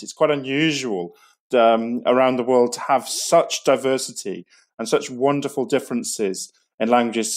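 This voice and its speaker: A middle-aged man, a school leader, giving an enthusiastic, digressive online assembly talk to students. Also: mid-range voice.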